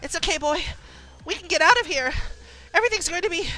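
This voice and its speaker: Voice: very high voice, quick pace, sharp tone, soft background music, pitched above the performer's own voice. A storyteller voicing a desperate, devoted girl with urgent, emotional pleading, as in an adventure tale.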